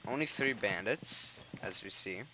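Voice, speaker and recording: A man's voice: on the low side, lively intonation, takes it slowly, vocal fry, very noisy. Young man rambling over game footage. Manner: rambling, filler-heavy, pointing at footage. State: congested. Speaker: gaming commentator. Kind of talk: casual gameplay commentary. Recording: game sounds and gunfire behind.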